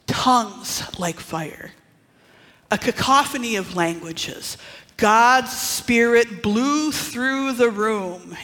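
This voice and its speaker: Slow, deliberate preacher in a reverberant hall. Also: mid-range voice. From northern Wisconsin, USA. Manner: deliberate, with dramatic pauses and hushed asides. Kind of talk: sermon. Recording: long reverb of a large hall.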